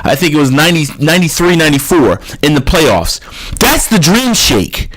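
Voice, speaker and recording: A man in his thirties rants through a solo commentary, his voice a touch high and leaping up and down in pitch. He sounds exasperated and throws in curses as he argues his case. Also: sing-song, moderate pace, fairly bright tone, low-quality recording. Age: 30s. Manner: exasperated, ranting, swears. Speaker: draft analyst host.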